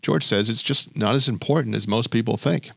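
A man's voice: medium pitch, fairly quick, vocal fry, fairly bright tone, dry recording. A male podcast host narrating in a light, wry storytelling way between interview clips. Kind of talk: scripted narration.

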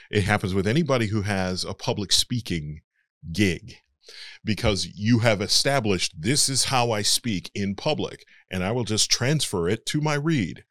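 A man's voice: lowish voice, animated, a little slow, fairly bright tone, no echo, clean studio sound. A male voice actor being interviewed, explaining his trade in a frank, matter-of-fact conversational way. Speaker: voice actor guest.

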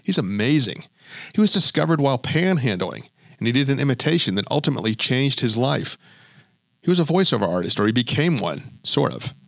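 A man's voice: mid-range voice, big pitch swings, fairly quick, creaky, a bit bright, no room sound. A male podcast host narrating in a light, wry storytelling way between interview clips. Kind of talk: scripted narration.

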